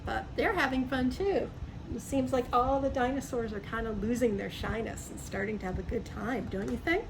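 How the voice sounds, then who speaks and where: High-pitched woman reading to young children. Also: normal speed. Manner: asks the young listener questions, explains simply. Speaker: storybook reader for young children. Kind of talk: read-aloud picture book with chatty asides to children. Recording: clearly noisy background.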